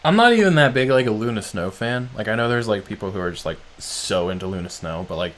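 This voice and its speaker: Rambling, excited man reacting live. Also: fairly low pitch, fast, a little hiss or hum. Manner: excited, rambling, heavy use of "like". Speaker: streamer host. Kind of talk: casual live reaction commentary.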